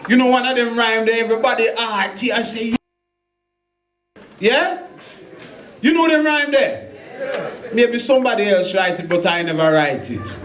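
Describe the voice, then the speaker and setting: A poet from England with a Birmingham Jamaican patois, bantering with the crowd before a spoken word set. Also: male voice, very high-pitched, expressive, measured pace, long pauses, a bit bright, echoey room.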